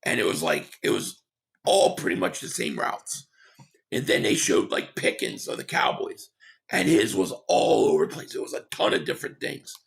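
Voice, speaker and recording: A man hosting a sports talk livestream, hoarse and losing his voice, reading chat comments and giving rambling, opinionated takes. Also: fairly low pitch, big pitch swings, moderate pace. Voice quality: hoarse, gravelly. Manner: rambling, opinionated, repeats himself.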